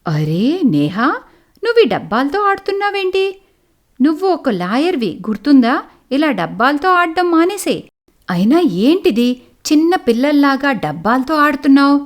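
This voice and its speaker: A woman's voice: high-pitched, sing-song, moderate pace. A woman voicing a weary, exasperated middle-aged mother-in-law who scolds and sighs in resignation in a cartoon story. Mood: scolding. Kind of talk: cartoon moral story acting.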